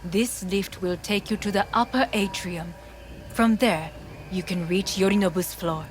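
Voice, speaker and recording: A woman voicing a composed, commanding corporate heiress in a video game, with a formal, measured manner. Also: medium pitch, a little hiss or hum, natural voice. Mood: solemn, commanding.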